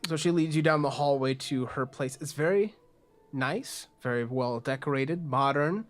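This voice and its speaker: A man's voice: mid-range voice, moderate pace. A man acting as game master, narrating scenes to players of a tabletop game. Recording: music behind the voice.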